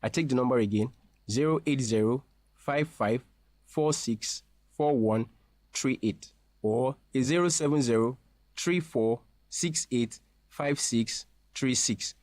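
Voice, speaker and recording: A man speaking as a representative in a scripted promotional interview, explaining things in a formal, measured way. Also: mid-range voice, a little mellow, no echo. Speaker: university representative being interviewed.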